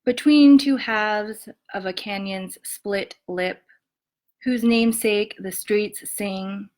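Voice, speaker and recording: A woman poet reading her poems aloud and talking softly between them, with many ums, on what sounds like a home webcam mic. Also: medium pitch. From Montana and western Colorado, USA. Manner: soft-spoken, many ums, measured reading. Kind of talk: poetry reading with spoken introductions.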